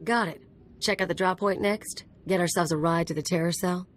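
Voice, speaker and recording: An actress playing a breezy, wisecracking saboteur sidekick in a video game, with a casual, wry delivery. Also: female voice, fairly low pitch, moderate pace, a little hiss or hum, lightly acted. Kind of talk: video game cutscene acting. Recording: game audio over music.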